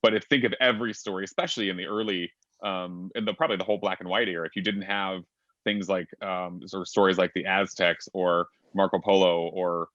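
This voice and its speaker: A man's voice: low-pitched, steady tone, brisk, a bit bright, no echo. A man hosting a casual panel discussion over a video call, chatty and rambling with many fillers. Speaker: panel host. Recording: dull lo-fi video call audio.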